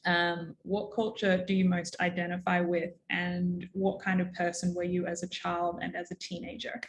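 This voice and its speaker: A woman's voice: lowish voice, little pitch movement, moderate pace, a little hiss or hum. A woman, asking questions as an interviewer in a friendly, structured chat.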